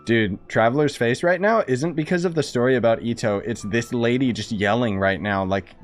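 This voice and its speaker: A man's voice: medium pitch, moderate pace, faint music behind. A young man giving casual, reactive gaming commentary in a slangy, joking, mocking manner. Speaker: gaming streamer.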